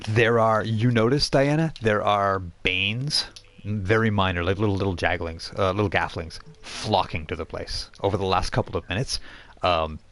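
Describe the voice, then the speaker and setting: Male game master with a low voice, improvised tabletop narration, conversational and halting. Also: a bit bright. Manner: halting, conversational, repeats phrases while improvising. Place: live online game stream.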